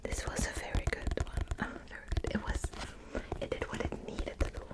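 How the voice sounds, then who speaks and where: A young woman from Belgium with a Dutch accent, whispering softly and hesitantly as she hosts her ASMR book channel, with many small fillers. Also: brisk.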